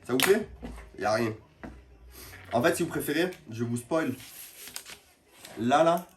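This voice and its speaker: A man's voice: medium pitch, lively intonation, a little slow. A man with a cold, tired, chatting casually and in slang to viewers on a live stream as he shows building work. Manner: informal, slangy. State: has a cold, tired, back pain. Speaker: livestreamer showing building work.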